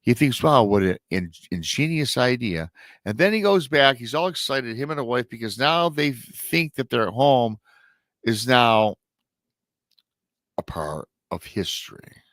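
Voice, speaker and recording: A man's voice: medium pitch, expressive, unhurried, fairly bright tone, dry recording, clean studio sound. A middle-aged man hosting a live show, retelling a strange encounter story in a chatty way, sounding nasal and a little scratchy from a lingering illness. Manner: chatty asides to viewers. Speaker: paranormal show host.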